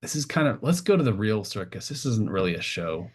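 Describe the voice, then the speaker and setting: A man's voice: lowish voice, big pitch swings, brisk, slightly rough, voice pushed up. A man playing an unimpressed, casually dismissive teenage customer in an improvised tabletop roleplay. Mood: bored, dismissive.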